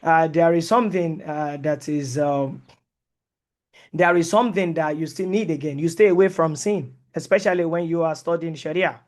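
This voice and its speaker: Male lecturer, non-native English, slightly high voice, halting delivery with many fillers. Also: darkish tone. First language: not English. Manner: mixes in Arabic and Islamic terms. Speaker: Sharia teacher. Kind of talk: online lecture with live Q&A.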